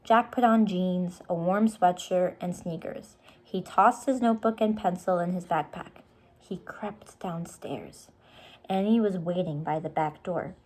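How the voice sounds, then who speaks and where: A woman reading a storybook aloud to young children, pausing to explain things to them. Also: lowish voice, a little mellow. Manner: explains words and asks questions for young listeners. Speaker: storybook reader.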